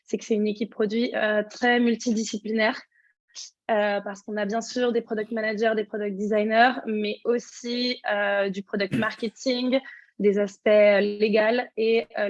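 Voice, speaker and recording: A woman's voice: mid-range voice, no room sound. A woman with a French accent, a panel guest who leads a product team, introducing herself steadily with a few fillers. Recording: slightly dull, lo-fi sound.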